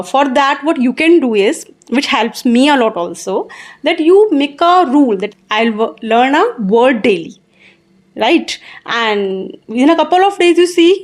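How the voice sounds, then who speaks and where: Woman, indian english accent, tutor, earnest encouraging advice, somewhat high voice. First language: Hindi. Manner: earnest, encouraging, repeats points. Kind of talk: interview answers, giving advice.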